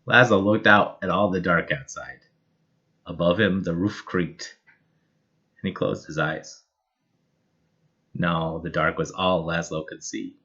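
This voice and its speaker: A man reading a children's picture book aloud in an expressive, measured and dramatic storytelling style. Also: low-pitched, muffled recording. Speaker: storybook reader.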